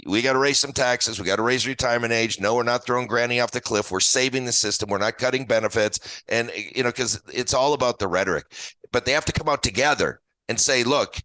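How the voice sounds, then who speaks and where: A man's voice: mid-range voice, quick pace, bright, forceful tone, no room sound, rough recording. An American man in his early sixties explaining things as an interview guest in an emphatic, plain-spoken, folksy way. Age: early 60s. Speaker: guest retirement expert and author.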